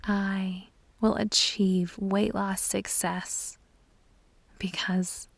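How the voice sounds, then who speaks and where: A woman reads a guided meditation at a slow, measured pace. Her voice is soft and whispery, with very little rise and fall. She gives soothing instructions in a dry recording with no room sound. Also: mid-range voice, flat delivery, creaky, dry recording.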